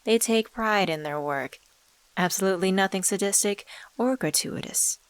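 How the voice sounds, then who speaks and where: A woman voicing an enigmatic supernatural dealmaker in an ASMR audio drama, coaxing and teasing in a deliberate, smooth-talking way. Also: medium pitch, no echo, natural voice. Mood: patient, reassuring. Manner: coaxing, teasing, deliberate, calls listener sweetheart. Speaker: voice actor in a scripted audio drama.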